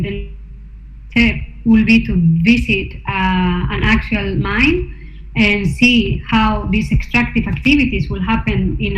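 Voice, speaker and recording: A woman artist presenting her research to slides, hesitant, with many fillers and restarts. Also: mid-range voice. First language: not Norwegian. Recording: echoey room, dull sound, faint noise.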